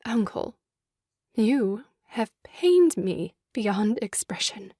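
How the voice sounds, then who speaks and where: An actress playing a wronged young wife in a farce, plaintive and theatrically self-dramatizing. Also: female voice, fairly high pitch, big pitch swings, drawn-out words, warm tone, no echo, clean studio sound, exaggerated voice. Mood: pleading. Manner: melodramatic, plaintive. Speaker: voice actor. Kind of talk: stage farce audio drama acting.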